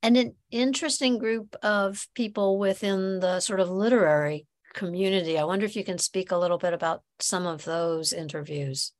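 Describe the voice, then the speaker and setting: A woman's voice: medium pitch, steady tone. A woman hosting a podcast, asking a guest thoughtful questions with frequent ums between her thoughts. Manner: thoughtful, fills pauses with ums. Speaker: podcast host. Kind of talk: interview questions.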